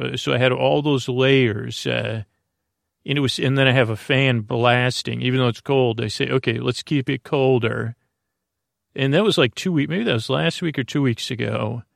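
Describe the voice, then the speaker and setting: A man's voice: mid-range voice, vocal fry, dry recording, clean studio sound. A middle-aged man hosting a sleep podcast, talking in a meandering, hesitant bedtime monologue full of uhs. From Bay Area, California.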